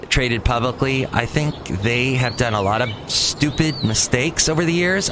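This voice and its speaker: A man hosting his own podcast outdoors near a cafe, giving rambling, opinionated news commentary and stumbling over words. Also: medium pitch. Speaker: podcast host. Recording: outdoor street noise. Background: traffic and city ambience.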